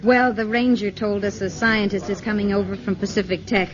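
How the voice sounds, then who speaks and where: A woman's voice: medium pitch, fairly even intonation, fairly quick, lightly acted. An actress playing an enthusiastic, insistent young woman in a 1950s radio drama. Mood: eager. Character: eager, admiring young woman graduate. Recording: muffled vintage radio broadcast with crowd noise.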